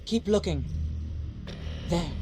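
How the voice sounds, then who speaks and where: An actress playing a tense intelligence agent in a video game, clipped, urgent and commanding. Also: female voice, on the low side, expressive, slow pace, mellow, soft background music. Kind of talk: dramatic video game acting.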